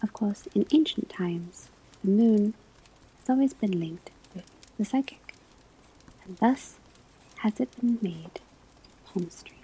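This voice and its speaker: A woman whispering in a breathy, husky voice, delivering a scripted ASMR classroom lecture as a teacher, with deliberate, measured phrasing. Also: medium pitch, lively intonation, mellow, low-quality recording. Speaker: ASMR performer playing a divination class teacher.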